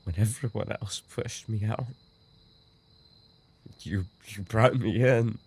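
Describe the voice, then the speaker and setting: A man's voice: fairly low pitch, fairly even intonation, slow, creaky, a little mellow, a little hiss or hum. A man playing a dying, obsessive and remorseful yandere roommate in an audio roleplay, speaking in weak, broken, repeated phrases. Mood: wounded longing. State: weakened, dying from an injury. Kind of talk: boyfriend ASMR audio roleplay acting.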